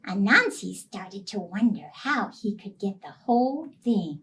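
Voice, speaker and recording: A woman telling a children's story as a library storyteller, explaining things simply in animated phrasing. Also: mid-range voice, unhurried, distant mic.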